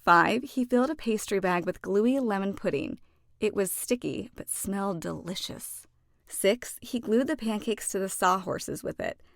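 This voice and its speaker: A woman narrating a children's storybook expressively, with deliberate phrasing and dramatic emphasis. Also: medium pitch, animated, creaky, no room sound, clean studio sound. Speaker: children's book read-aloud narrator.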